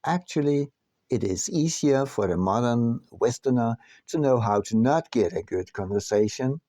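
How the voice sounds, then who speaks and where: A man reading his own book aloud as scripted narration, in a deliberate, measured manner with frequent pauses. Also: medium pitch, warm tone, dry recording.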